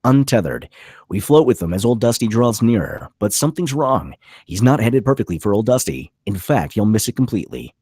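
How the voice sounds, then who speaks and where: Male narrator for a screenplay read: low husky voice, very dynamic pitch, punchy clipped delivery. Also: big pitch swings, fairly quick, warm tone, dry recording, clean studio sound. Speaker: narrator reading stage directions. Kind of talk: dramatic scripted narration.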